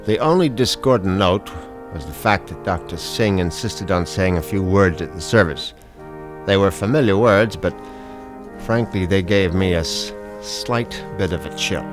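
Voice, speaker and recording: A man's voice: deep voice, normal speed, natural voice. An actor playing a callous, falsely soothing husband who narrates his crime in a radio mystery. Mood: smug narrating. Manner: smug, falsely soothing, flustered when pressed. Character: smooth, callous husband who poisoned his rich wife. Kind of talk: radio mystery acting with first-person narration. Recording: radio drama with music underneath.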